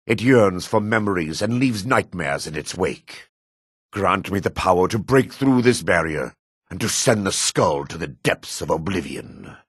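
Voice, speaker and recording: An actor playing a solemn, world-weary dark elf man in a fantasy video game, with a raspy, measured, theatrical delivery. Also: sits low, dry recording, studio quality, exaggerated voice. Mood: earnest, pleading.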